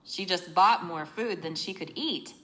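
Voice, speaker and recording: A woman reading a children's book aloud in an expressive, teacherly way, explaining as she narrates. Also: fairly low pitch, a little slow, poor audio.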